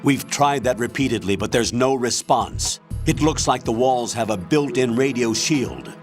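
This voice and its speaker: An actor playing a steady, authoritative male military colonel in a video game, giving brisk instructions as mission control. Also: medium pitch, faint music behind, close to an everyday voice. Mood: firm, instructive. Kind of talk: video game radio-briefing acting.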